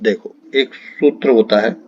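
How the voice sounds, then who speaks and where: A man's voice: on the low side, a little slow, a little mellow, breathy edge, no echo, highs cut off. A man teaching an exam-prep class, explaining quiz questions to students in an instructive way and repeating key phrases for emphasis.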